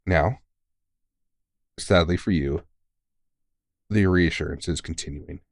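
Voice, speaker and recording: A very low-voiced veteran monster hunter, acted by a man, recorded dry and close for an ASMR roleplay. He is kindly and worn out, soothing a younger recruit with gentle teasing and casual swearing. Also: takes it slowly, creaky, dry recording, full character voice. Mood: reassuring, frank. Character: kindly, weary veteran male vampire hunter mentoring a rookie. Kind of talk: intimate ASMR audio roleplay.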